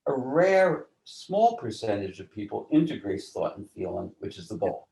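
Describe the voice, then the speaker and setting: A man explaining ideas as an interview guest in a deliberate, teacherly way, often posing rhetorical questions. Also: lowish voice, big pitch swings, vocal fry, darkish tone. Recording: roomy, echoing voice.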